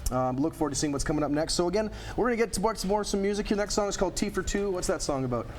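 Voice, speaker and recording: A man with a slightly high voice talks quickly as a TV host. He interviews a guest in an upbeat, affirming way over a faint background rumble. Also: lively intonation. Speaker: TV show host.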